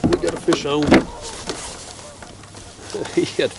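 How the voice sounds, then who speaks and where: A man's voice: on the high side, flat delivery, slow pace, vocal fry. Nasal man fishing from a kayak. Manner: laid-back, chatty, drawling. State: nasal from a cold. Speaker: fishing vlogger. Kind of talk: casual outdoor vlog narration. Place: kayak on a river at night. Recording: distant camera mic outdoors, faint noise.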